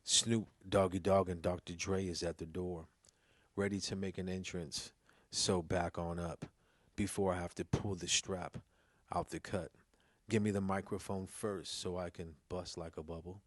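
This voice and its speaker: A man's voice: sits low, little pitch movement, a little slow, no room sound, clean studio sound. A man giving a dramatic spoken reading of verse. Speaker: news reporter reading lyrics.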